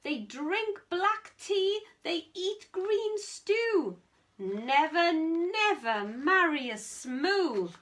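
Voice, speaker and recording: A woman's voice: very high-pitched, very animated, extremely slow, a bit bright, voice pushed up, full character voice. A woman voicing a stern, disapproving old alien grandparent from a picture book, giving emphatic, scolding warnings. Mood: appalled, scolding.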